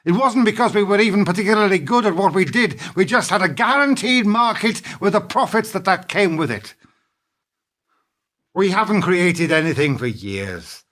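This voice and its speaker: Actor as earnest, higher-voiced weary businessman. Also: animated, normal speed, a bit bright. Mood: firmly argumentative. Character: weary, reproachful veteran business partner, male. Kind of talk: radio play acting.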